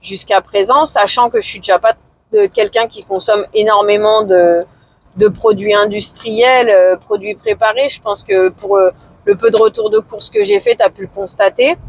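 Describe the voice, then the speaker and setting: A woman in her forties talking casually to camera in a vlog, hesitant and low-key, with many fillers and pauses. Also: dry recording, poor audio. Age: 40s. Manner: low-key, somewhat discouraged. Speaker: vlogger.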